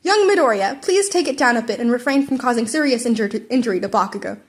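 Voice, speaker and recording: A woman's voice: a little high, animated, fast talker, natural voice. A woman reading a mild, oblivious adult male hero teacher in a story, polite and formal. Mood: calm, puzzled.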